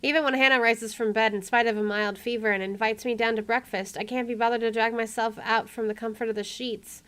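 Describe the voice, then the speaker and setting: A woman's voice: fairly high pitch, steady tone, brisk, few pauses, fairly bright tone. Wry woman narrating a game playthrough. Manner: wry, joking asides. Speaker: video game streamer. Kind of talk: reading game text aloud with casual commentary.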